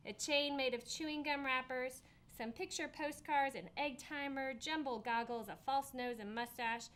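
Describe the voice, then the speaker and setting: Bright-voiced woman reading a storybook. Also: fairly high pitch. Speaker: assistant principal reading a picture book. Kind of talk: expressive children's storybook narration.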